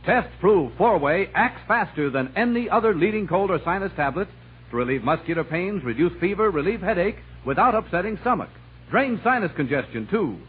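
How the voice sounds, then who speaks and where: A man announces a scripted vintage radio commercial. His pitch is high and lively, and the recording is thin with a faint hiss. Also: fairly quick. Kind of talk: scripted 1950s-60s radio ad read. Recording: tinny, hissy old radio recording.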